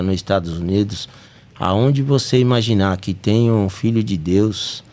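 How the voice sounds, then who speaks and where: A Brazilian man with a lowish voice talks earnestly as the host of a spiritist study podcast. His Brazilian Portuguese accent comes through, and he climbs now and then to emphatic peaks. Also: darkish tone.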